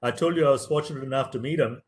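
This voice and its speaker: Tamil-native guy lecturing on a webinar, earnest and emphatic, mid-pitched voice, leans hard on key words. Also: racing. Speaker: professor giving a webinar lecture. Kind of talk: motivational lecture.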